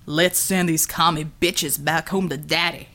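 Lady doing a big mean gangster guy for an audiobook, low n slow drawl, bullying. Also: fairly even intonation, bright, forceful tone, heavily acted. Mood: taunting. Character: heavy-set booming male gang leader, bullying and menacing.

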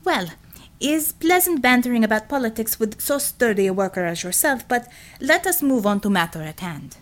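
Woman acting Russian female commissioner, audiobook character, staunch communist, sharp-tempered, blunt, clipped broken English, Russian accent, animated intonation. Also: a little high, moderate pace, close to an everyday voice. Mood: brisk, controlled.

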